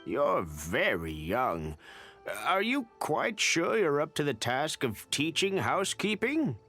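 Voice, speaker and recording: A man's voice: on the high side, wildly swooping pitch, takes it slowly, fairly bright tone, soft background music, full character voice. An actor playing an exacting, skeptical elderly male villager in a theatrical video game style. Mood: wistful, doubting. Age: older.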